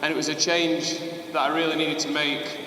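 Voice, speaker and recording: A man gives a hesitant, modest thank-you speech, his voice bright and a touch high. He is heard from far off in an echoing hall. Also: level tone, normal speed, slight background noise. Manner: hesitant, modest, with many ums. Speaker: award winner giving thanks. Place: large party venue, indoors.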